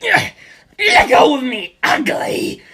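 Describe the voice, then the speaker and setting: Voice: sits high, very animated, drawn-out words, voice pushed up, heavily acted. A narrator voicing a childish, foul-mouthed male undead villain who yelps and taunts in a storybook reading. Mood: furious. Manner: taunting, yelping, shouting.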